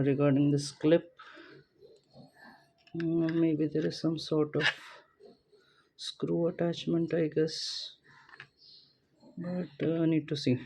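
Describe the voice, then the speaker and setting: A man casually talking through a product unboxing without a script, thinking aloud in a halting way as he goes. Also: a little high, warm tone. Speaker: gadget unboxing vlogger. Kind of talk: casual unscripted product walkthrough.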